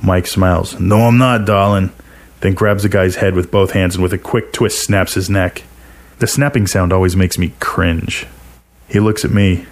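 Low-voiced man narrating fiction. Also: flat delivery, moderate pace. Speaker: narrator, story reader. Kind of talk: scripted first-person fiction narration.

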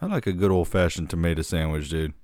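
A man's voice: low-pitched, fast, darkish tone, no room sound, studio quality. A man co-hosting a podcast in a casual, laid-back way, often calling his co-host dude.

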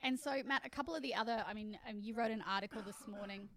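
A woman's voice: mid-range voice, fast, fairly bright tone. A woman co-hosting a podcast in casual chat, enthusiastic and chatty with many "ums". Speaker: podcast co-host.

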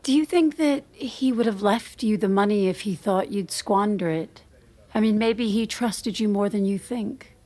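An actress playing a polite, hesitant finance employee in a screen drama, speaking tentatively and placatingly. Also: female voice, mid-range voice, normal speed, a little mellow, natural voice. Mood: gentle, careful. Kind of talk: naturalistic screen drama acting.

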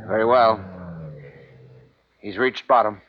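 A man's voice: fairly low pitch, steady tone, takes it slowly. An actor in a 1950s radio drama playing a dutiful, deferential male guard. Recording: muffled old radio with loud noise.

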